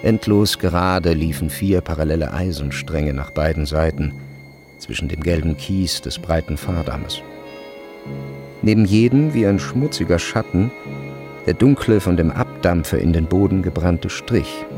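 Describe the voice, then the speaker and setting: A male narrator with a deep, creaky voice reads scripted literary prose for a radio play. His phrasing is measured and deliberate. Music plays clearly behind his words.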